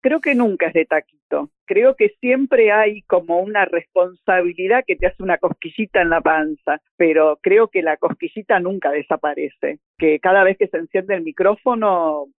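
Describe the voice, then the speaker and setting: A man's voice: sits high, moderate pace, hardly any pauses, dry recording. A man with an Argentine accent, a broadcaster reflecting informally as in an interview. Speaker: radio broadcaster. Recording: thin telephone-like line, dry.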